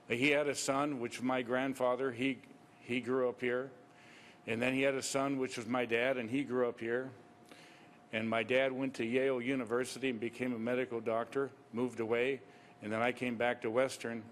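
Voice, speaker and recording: A man's voice: mid-range voice, slight background noise. A man, a preacher, making an extemporaneous political comment at a public meeting in an emphatic, disapproving manner.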